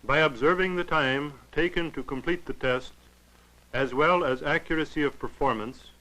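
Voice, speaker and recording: A man narrating a 1940s educational film in a formal, clinical, measured way. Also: mid-range voice, sharp tone, poor audio.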